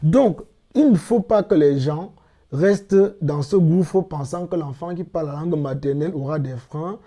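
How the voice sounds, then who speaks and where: A middle-aged man from Cameroon answering interview questions in a measured, explanatory way with emphatic repetitions. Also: on the high side, dark tone. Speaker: interviewed guest, language teacher.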